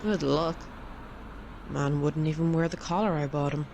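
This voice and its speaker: An actress playing a dry, sardonic crew member in an audio drama. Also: female voice, sits low, fairly even intonation, moderate pace, creaky, very noisy.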